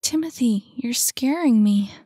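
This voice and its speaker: A woman reading a storybook and voicing a gentle, caring young woman who speaks softly and pleadingly to a troubled friend. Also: fairly high pitch, animated, measured pace, husky, no room sound, voice pushed up. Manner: soft, pleading, concerned.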